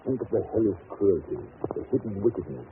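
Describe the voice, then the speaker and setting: A man playing a shrewd, authoritative British detective with deliberate, theatrical delivery, in an old-time radio drama. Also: lowish voice, lively intonation, lightly acted. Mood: ominous. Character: famous detective, grave, authoritative, shrewd, commanding. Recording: tinny, hissy vintage radio recording.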